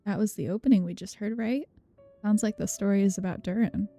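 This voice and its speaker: A woman with a creaky voice giving casual playthrough commentary and reading game dialogue aloud as a streamer. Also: medium pitch, a little fast, warm tone, faint music behind.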